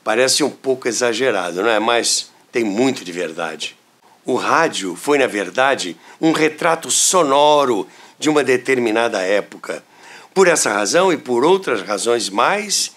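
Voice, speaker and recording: A Brazilian man hosting a history show, reading scripted narration in a deliberate, rhetorical way with dramatic phrasing. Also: mid-range voice, big pitch swings, a bit bright. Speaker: host of a radio history show. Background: music.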